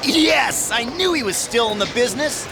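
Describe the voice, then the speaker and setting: A man playing an excitable, exasperated racing team member in a video game, talking emphatically. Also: very high-pitched, normal speed, gravelly, over music, heavily acted, rough recording. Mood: eager. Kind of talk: video game cutscene acting. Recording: loud vehicle background noise.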